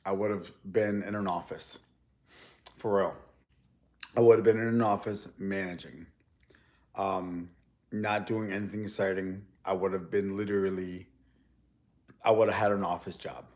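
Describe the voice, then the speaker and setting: A man's voice: sits low, steady tone, moderate pace, long pauses, a little mellow. Rambling, easygoing man chatting while eating. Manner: rambling, loose, with many restarts. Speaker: mukbang YouTuber. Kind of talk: casual chat while eating on camera.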